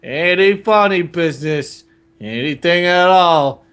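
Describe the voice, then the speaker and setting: A woman voicing a large, threatening uncle in a comic storybook reading, slowly and deliberately. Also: on the low side, smooth. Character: stern, threatening uncle, a large grown man.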